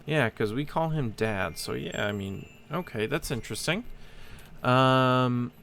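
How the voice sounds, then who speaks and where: A man's voice: mid-range voice, animated, measured pace, vocal fry, fairly bright tone, a little hiss or hum. A man giving casual let's-play commentary, musing aloud as he thinks through puzzles. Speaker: gaming host, player. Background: game music.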